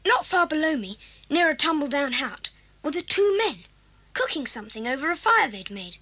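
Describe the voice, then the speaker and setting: A woman's voice: high-pitched, very animated, normal speed, pushed and bright, heavily acted. A woman playing a plucky, practical schoolboy with an eager, earnest way of talking, in a 1980s children's audio drama.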